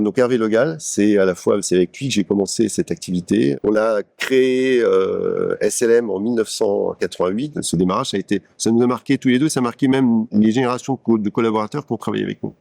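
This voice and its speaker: A man in his sixties with a low, gravelly voice, recounting his career path in a showroom interview. He speaks in a measured way, often hesitating and repeating words as he gathers his thoughts. The sound is dry and close. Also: darkish tone, no echo. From France (Paris). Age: 60s. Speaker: company executive. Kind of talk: interview monologue, personal career story. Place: company showroom.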